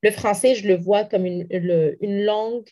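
A woman's voice: medium pitch, steady tone, a little slow, dark tone. Earnest young woman from Morocco. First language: Arabic. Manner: earnest, many restarts and fillers. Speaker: interview guest, community activist. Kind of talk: interview answers.

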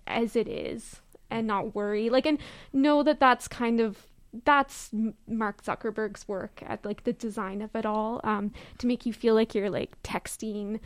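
Woman, higher pitch, chatty, rambling, lots of fillers, casual talk. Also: steady tone, a little slow. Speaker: guest, online group moderator. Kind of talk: casual discussion. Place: remote call.

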